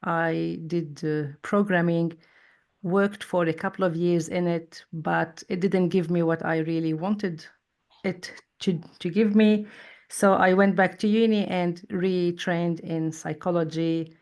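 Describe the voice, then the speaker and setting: Slow, measured woman in her forties. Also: fairly low pitch, steady tone, a little mellow. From Lebanon. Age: 40s. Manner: measured, thoughtful, deliberate. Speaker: psychologist guest. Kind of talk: interview conversation.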